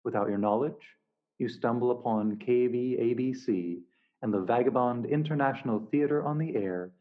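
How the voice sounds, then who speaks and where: A man performs a radio drama narrator setting the scene for the listener. The male voice is mid-pitched and scripted. It sounds narrow and tinny, like a phone line. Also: moderate pace, natural voice.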